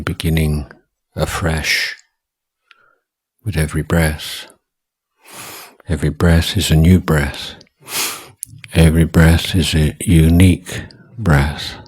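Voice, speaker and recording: A man with a husky voice giving guided meditation instruction as a teacher, speaking in short, deliberate phrases with long pauses. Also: a bass voice. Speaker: Buddhist monk, meditation teacher.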